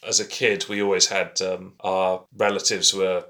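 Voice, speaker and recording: A man's voice: sits low, flat delivery, moderate pace, pushed and bright. A man co-hosting a podcast, hesitant with dry humour, from England. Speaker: podcast co-host. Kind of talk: casual chat.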